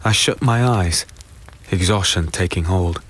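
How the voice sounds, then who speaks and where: A man playing a weary, brooding fantasy hero who narrates his own dark tale in a deliberate, somber, dramatic way. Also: sits low, breathy edge, exaggerated voice. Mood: somber, tender. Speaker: narrator and lead character. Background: music.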